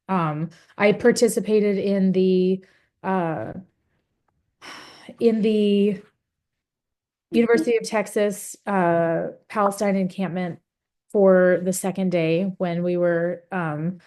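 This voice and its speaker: A young woman speaking on a webinar panel over a video call, earnest and halting, with many fillers and restarts. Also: fairly low pitch, darkish tone. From United States. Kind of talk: webinar testimony.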